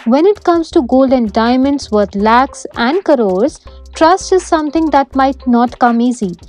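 A woman's voice: high voice, animated, unhurried, a little mellow, soft background music. A young woman with an Indian English accent, presenting a travel vlog from a busy market and narrating over it. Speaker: reporter. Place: busy street market outdoors.

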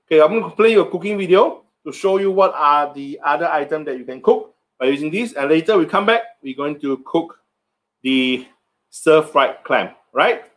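A man's voice: a little high, lively intonation, moderate pace, fairly bright tone. A middle-aged man hosting a live cooking stream, chatting loosely as he sells a kitchen appliance in front of a stand mic. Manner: chatty, loose sales talk with slips in grammar. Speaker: host of a live cooking show selling an air fryer. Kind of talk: live-stream product demo chat. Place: home kitchen set with a product backdrop, indoors. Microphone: stand mic in front, framed from the waist up.

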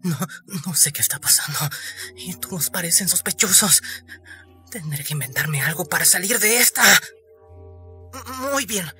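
A woman's voice: on the low side, animated, moderate pace, long pauses, bright, forceful tone, faint music behind. A woman voicing a boastful but cowardly young male pirate in an anime fandub, theatrical and easily panicked.

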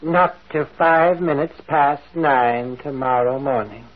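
An actor playing a stolid, self-assured vault keeper in an old radio drama, clipped and matter-of-fact. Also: male voice, mid-range voice, slow. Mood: firm, guarded. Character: terse, stolid male vault keeper, sure of himself. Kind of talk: 1940s radio acting. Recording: muffled, phone-like old radio recording.

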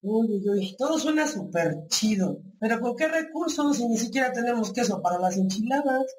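A woman's voice: on the high side, animated, normal speed, darkish tone, close to an everyday voice. Actress as a cheeky Mexican woman, roomy sound. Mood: complaining, practical. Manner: teasing, colloquial. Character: cheeky, slangy joker woman in a party meeting. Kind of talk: scripted radio drama acting. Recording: roomy echo, faint noise.

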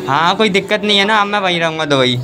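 A man's voice: high-pitched, quick pace. A young man doing a prank phone call in a whiny, argumentative, childlike way inside a lift. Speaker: prankster making fake phone calls. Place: inside a crowded metal passenger lift.

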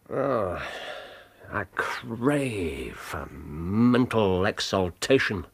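A restless, brilliant English detective of the Victorian age in a radio play. He speaks slowly and languidly, with a very lively, theatrical rise and fall. His manner is scornful. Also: lowish voice, vocal fry, husky, full character voice. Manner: languid, hesitant, then theatrically intense. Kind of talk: radio drama acting.

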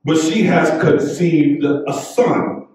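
A middle-aged American man preaching as a pastor in a measured, fervent, declamatory way. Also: fairly high pitch, a little mellow, recorded from a distance. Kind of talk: sermon, scripture reading and prayer. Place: church sanctuary at the pulpit. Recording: echoey church hall, off mic.

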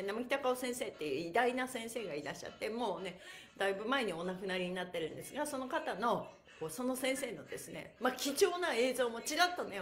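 High-pitched, lively middle-aged woman livestreamer. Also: reverberant. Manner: bubbly, playful, often giggling, addressing viewers. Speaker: pantomime teacher and livestream host. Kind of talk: chatty live-stream exercise class and announcements. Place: indoor room with bare walls and exercise mat.

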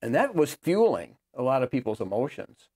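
Halting middle-aged American man hosting. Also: medium pitch, very animated, a little mellow, slightly rough. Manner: halting, searches for words. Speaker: host. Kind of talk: casual interview conversation. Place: small book-lined room indoors. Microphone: lapel mic.

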